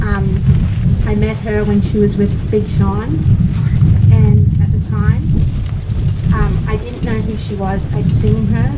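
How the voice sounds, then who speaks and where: Hesitant Australian woman, far off in wind. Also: mid-range voice. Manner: hesitant, many pauses and fillers. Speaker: vigil attendee sharing a memory. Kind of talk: outdoor memorial speech. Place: outdoors by a lake. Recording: distant, dull, with loud wind noise.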